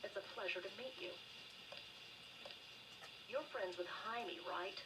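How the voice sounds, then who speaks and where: A friendly, confiding female staffer from a video game. Her pitch is medium and her manner chatty, heard slightly distant through clear noise. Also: slow pace.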